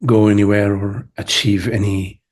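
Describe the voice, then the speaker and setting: A man leading a guided meditation as a teacher, speaking gently in short phrases with long pauses. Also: lowish voice, level tone. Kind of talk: guided meditation, spiritual talk.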